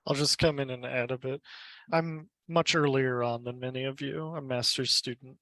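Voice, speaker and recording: A man's voice: medium pitch, fairly even intonation, moderate pace, pushed and bright, no echo. A young man, a master's student, speaking modestly and tentatively in an academic discussion.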